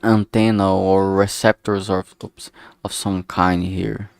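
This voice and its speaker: Guy streamer mumbling thru ideas, lowish gravelly voice, lots of stops n starts, close dry mic. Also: little pitch movement, no room sound. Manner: hesitant, halting, trailing off mid-thought. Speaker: streamer making a visual novel. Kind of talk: casual thinking aloud while drawing.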